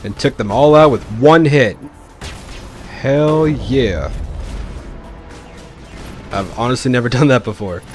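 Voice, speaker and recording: A man's voice: mid-range voice, slow, long pauses, soft background music. A man giving casual, informal let's play commentary, explaining his choices as he plays. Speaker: gaming video host.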